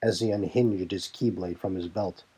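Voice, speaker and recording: A man narrates a fantasy adventure story in a scripted, read-aloud style. His voice sits low and has a husky grain to it. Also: fairly even intonation, moderate pace, dark tone. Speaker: narrator of a fan fiction audio drama.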